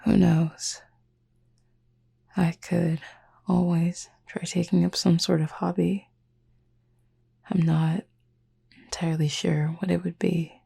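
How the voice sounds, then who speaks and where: Woman acting a soft, gravelly, whispery cupid roommate. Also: on the low side, level tone, exaggerated voice. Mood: gentle, fond. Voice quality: breathy, husky, near-whisper. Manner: measured, formal wording with long pauses. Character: gentle, reserved, formally spoken cupid roommate, devoted and quietly curious. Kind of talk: slow, soft-spoken sleep-aid audio roleplay.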